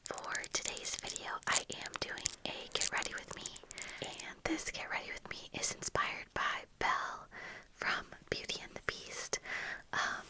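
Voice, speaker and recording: A young woman whispering a casual, rambling ASMR get-ready chat, breathy and unhurried, close to the microphone. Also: low-quality recording. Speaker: ASMR video creator. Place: small indoor room with bookshelves. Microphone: close fluffy-covered ASMR microphone, earphones worn.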